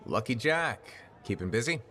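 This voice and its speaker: An actor voicing a plain-spoken, curious freelancer hero with a dry, conversational manner in a video game. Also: male voice, mid-range voice, very animated, normal speed, pushed and bright. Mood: friendly. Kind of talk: video game dialogue acting.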